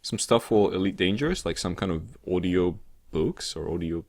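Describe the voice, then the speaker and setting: A man with a very low voice that moves around in an animated way. He chats casually as a co-host of a gaming podcast. His delivery rambles and halts, with a joking edge. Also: normal speed, creaky. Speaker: co-host of a weekly gaming news show. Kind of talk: casual unscripted podcast chat.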